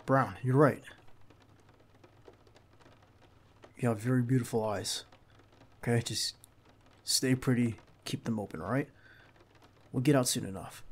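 A man playing a protective, reassuring bodyguard in an intimate ASMR roleplay, speaking in a hushed, halting, gravelly voice close to the listener. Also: mid-range voice, natural voice. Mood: urgent, gentle. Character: protective young male bodyguard, calm and reassuring.

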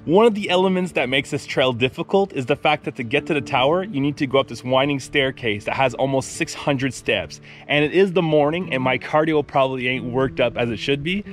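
A man's voice: mid-range voice, brisk, hardly any pauses, soft background music. A young man filming himself on a forest hiking trail, narrating a travel vlog in an upbeat, joking way. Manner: upbeat, joking about the stairs. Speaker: travel vlogger. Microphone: camera held at arm's length.

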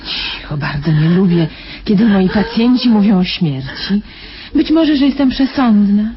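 A woman's voice: medium pitch, expressive, unhurried, natural voice. An actress playing a condescending, superstitious private nurse in a radio drama, coaxing her patient with patronizing endearments. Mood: patronizing, stern. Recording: dull, noisy old radio drama recording.

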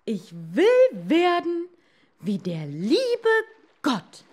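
A domineering wife from a storybook fairy tale, acted by a woman reader. Her voice leaps high and loud as she gives furious orders, drawing words out slowly in her craving for ever more power. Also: wildly swooping pitch, exaggerated voice. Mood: furious, demanding. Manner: shouting, commanding.

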